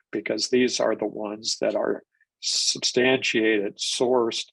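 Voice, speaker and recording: A man's voice: fairly low pitch, gravelly, fairly bright tone, husky, no echo, poor audio. An older man presenting a genealogy webinar, stuffed up from a cold, rambling with restarts and fillers. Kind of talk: live webinar lecture.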